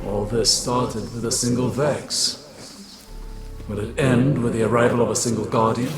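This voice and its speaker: A man's voice: mid-range voice, steady tone, close to an everyday voice. Man acting a solemn, echoing mystic warlock. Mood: grave, grand. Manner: grave, portentous, measured pronouncements. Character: solemn male mystic warlock, a copy of an exiled prophet. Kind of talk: dramatic video game cutscene acting. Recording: echoing voice under music.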